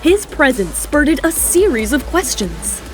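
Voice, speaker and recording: A woman's voice: high voice, big pitch swings, unhurried, soft background music. A woman narrating a scripted romantic drama as a storyteller.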